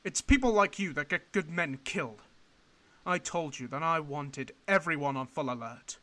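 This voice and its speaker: Guy doing angry army boss in a radio play, highish forceful voice, clipped and scolding. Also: normal speed. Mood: menacing, scolding. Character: stern, angry male military superior berating a subordinate. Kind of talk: radio drama acting.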